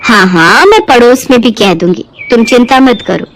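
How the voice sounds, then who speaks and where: Lady doing a friendly talkative city lady for a cartoon story, high voice, courteous. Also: animated, normal speed, fairly bright tone, a little hiss or hum. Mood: pleased, supportive. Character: friendly, chatty city woman customer. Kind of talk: Hindi moral-story cartoon voicing.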